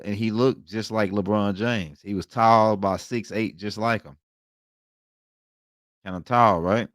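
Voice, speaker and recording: American guy with a low voice walking thru slides real informal, repeats himself, dry close sound. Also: dry recording. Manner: colloquial, repeats phrases and checks in with "right?". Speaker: online lecturer, host. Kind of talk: informal lecture talking through slides.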